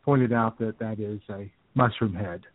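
A man's voice: fairly low pitch, unhurried, dry recording. A man co-hosting an internet radio show, bantering casually and sarcastically about the news over a thin, phone-like sound. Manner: sarcastic, joking.

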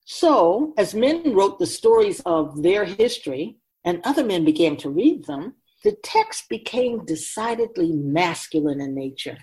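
A woman voicing a wise, ancient spirit who keeps souls, teaching patiently and deliberately in a scripted radio drama. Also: lowish voice, sing-song, mellow, low-quality recording. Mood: patient, explaining. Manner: measured, deliberate, didactic, solemn.

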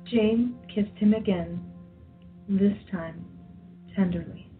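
A woman's voice: mid-range voice, takes it slowly. Woman narrator, tinny phone-like recording. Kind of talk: scripted radio play narration. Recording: tinny phone-like sound, faint music behind.

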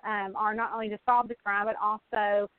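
A woman's voice: mid-range voice, level tone, moderate pace, smooth, dry recording. A woman chatting casually as an interview guest, effusive and folksy in the way she talks. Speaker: guest, mystery novelist. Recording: narrow phone-line sound.

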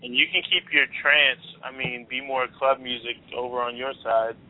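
A man's voice: mid-range voice, steady tone, normal speed. A man hosting a casual call-in talk show, joking and laid-back with slangy asides. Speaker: host. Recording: tinny phone-line audio.

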